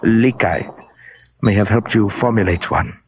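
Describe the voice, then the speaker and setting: An actor playing a huge, urbane, menacing gentleman in a radio crime drama, speaking in a florid, deliberate way. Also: lowish voice. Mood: silky menace. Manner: florid, pompous, silky, deliberate. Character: huge, urbane, menacing gentleman collector of fake Oriental art. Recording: muffled, hissy old radio recording.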